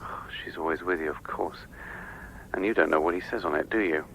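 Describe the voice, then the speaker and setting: An actor playing an insistent, suspicious scientist in a radio play, clipped and conspiratorial over a radio link. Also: male voice, low-pitched, brisk, a bit bright, a little hiss or hum, rough recording. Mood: pressing, suspicious.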